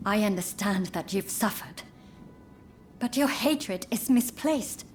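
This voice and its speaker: A woman's voice: medium pitch, expressive, takes it slowly, darkish tone, soft background music. An actress playing a stern, indignant woman healer of feudal Japan, reproachful and righteous, in a video game cutscene. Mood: grave, reproachful.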